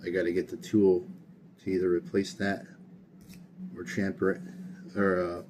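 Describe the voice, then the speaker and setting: A tired man with a very low, monotone voice talks his way through a workshop project, rambling and dropping fillers as he goes. A faint hum of background noise sits under his voice. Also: normal speed. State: tired late at night. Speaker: hobbyist gunsmith. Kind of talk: casual workshop walkthrough. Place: home workshop.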